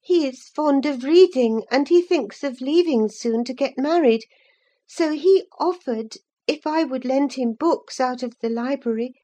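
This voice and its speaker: A woman's voice: sits high, a little slow, mellow, voice pushed up. A woman voicing an anxious, pleading teenage girl in an audiobook, earnest as she confesses to her nurse. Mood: earnest confessing. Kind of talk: dramatised audiobook character voice.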